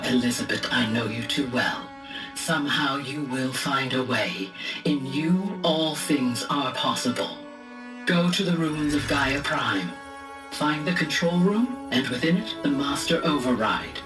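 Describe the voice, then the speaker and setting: A woman playing a calm, caring artificial intelligence in a video game, speaking in a formal, measured and grave way. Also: very deep voice, heavily acted. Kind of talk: scripted video game voice acting. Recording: echoey, distant, under loud music.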